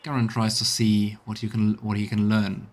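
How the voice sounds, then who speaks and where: A man's voice: fairly low pitch, completely flat delivery, normal speed, slight background noise. A man streaming a let's play, musing over the game and voicing his role-play on a close mic.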